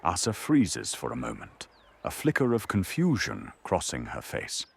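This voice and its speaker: Super deep husky guy narrating an audio drama, really dramatic, voice moves all over. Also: huge pitch swings, unhurried, dry recording.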